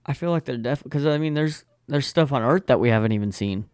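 A man's voice: mid-range voice, lively intonation, fairly quick, darkish tone, clear, resonant tone, no room sound. Laid-back joking man, dry sound. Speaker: podcast co-host. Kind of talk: casual chat.

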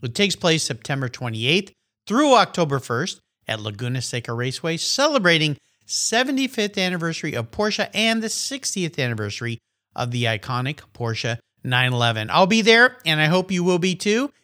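Man, podcast host, upbeat, bright voice, lively pitch. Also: moderate pace. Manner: upbeat, enthusiastic. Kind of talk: podcast interview and ad reads.

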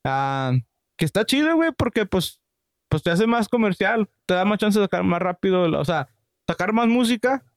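Man, casual host, slangy, easygoing, fairly high voice, dry close sound. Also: very animated, moderate pace, no echo. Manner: slangy, laid-back, laughs often. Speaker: podcast host. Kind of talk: casual chat.

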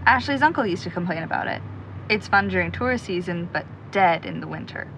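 A woman's voice: on the low side, expressive, fairly quick. A woman playing an optimistic young searcher in an audio drama, upbeat and reassuring in a naturalistic style. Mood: wry. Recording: clear background noise.

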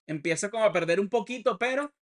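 A man's voice: high-pitched, expressive, quick pace. A Venezuelan man from Caracas with a Venezuelan accent, co-hosting a casual podcast chat among friends. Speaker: podcast co-host.

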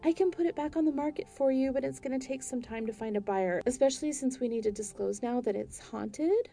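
A flustered real estate agent defends herself on a late-night call, as a woman narrator puts her on. The voice is mellow and rounded. Faint music sits behind her. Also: sits high, a little fast, creaky, voice pushed up. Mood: defensive.

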